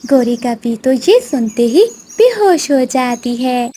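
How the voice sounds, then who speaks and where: A woman's voice: sits high, very animated, slow pace, mellow. A woman narrating a scripted moral story for children.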